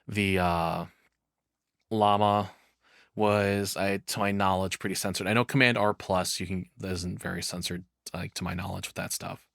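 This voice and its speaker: Deep-voiced man, rambling casual commentary. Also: fairly even intonation, normal speed, creaky, fairly bright tone, dry recording, studio quality. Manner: rambling, sweary. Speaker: streamer reacting to a video.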